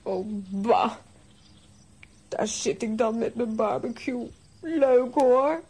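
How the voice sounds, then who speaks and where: A woman's voice: fairly high pitch, takes it slowly, warm tone, slightly rough, heavily acted. A woman playing a house-proud, easily exasperated aunt in a children's audio play, theatrical and self-satisfied. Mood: sulky.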